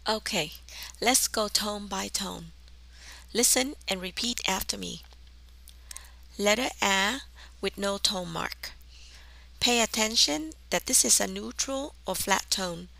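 A woman with a southern Vietnamese accent narrating a language lesson as the teacher, enunciating deliberately and carefully. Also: mid-range voice, creaky, pushed and bright, rough recording.

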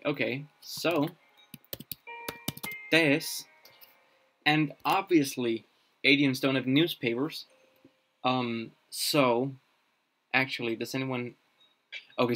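Slow, halting young man thinking aloud. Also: mid-range voice, a bit bright. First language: Danish. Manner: halting, many fillers and restarts. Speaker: streamer building a constructed language. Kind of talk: casual live-stream thinking aloud. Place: small room indoors.

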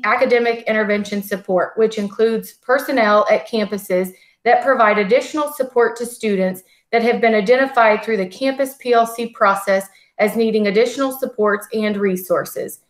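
A woman presenting a school district budget report on a video call in a steady, formal, scripted way. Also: on the high side. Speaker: school district finance administrator. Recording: echoey video call audio.

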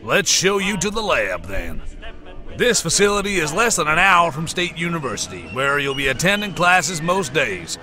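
An actor playing a confident, businesslike American official with brisk, folksy authority in a comic drama. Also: male voice, a little high, sing-song, pushed and bright, faint music behind, full character voice. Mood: welcoming, businesslike.

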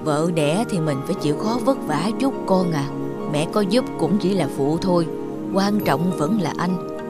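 A woman's voice: on the low side, moderate pace, natural voice. A woman playing a stern elderly cartoon grandmother and mother-in-law who scolds bluntly and frets. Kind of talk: cartoon voice acting. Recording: loud background music.

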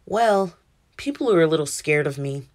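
A woman's voice: deep voice, expressive, normal speed, a little mellow. A woman voicing a friendly, lonely atom in a children's storybook, speaking earnestly.

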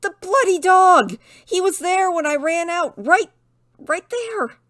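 A woman's voice: very high-pitched, animated, slow, a bit bright, no room sound, clean studio sound, voice pushed up, exaggerated voice. A woman voicing a panicky, hapless young man in an audiobook, stammering and exclaiming dramatically. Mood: horrified. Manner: stammering, exclaiming, British slang. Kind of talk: audiobook character dialogue.

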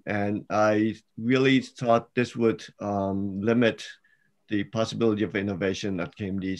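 A middle-aged man hosting an online panel, asking questions in a measured way with many fillers. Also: fairly low pitch. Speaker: dean hosting an info session. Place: indoors, home video call. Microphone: webcam mic on a video call.

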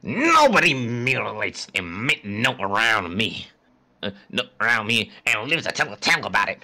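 A big, gruff barkeep acted by a man barks threats in a low, gravelly voice. He is angry and blustering, with swooping emphasis, as in a comic-book dub. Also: sing-song, moderate pace, pushed and bright, a little hiss or hum, heavily acted. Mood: angry, threatening.

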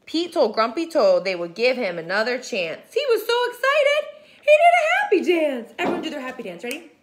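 A woman in her thirties reading a picture book aloud to children in an animated, expressive way, with exaggerated delivery and hushed asides. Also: sits high, fairly quick, noisy background. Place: home kitchen.

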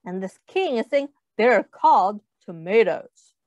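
A woman teacher reading a picture book aloud to children, pausing to ask them questions and putting on small voices for the characters, speaking into a webcam. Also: medium pitch, dry recording, muffled recording. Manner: animated, asks children questions, does little character voices.

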